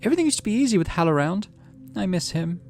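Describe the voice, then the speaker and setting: A man voicing a friendly female AI assistant engineer in a visual novel game, chatty, polite and expressive. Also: sits high, fast talker, a little hiss or hum, pitched above the performer's own voice. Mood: wistful.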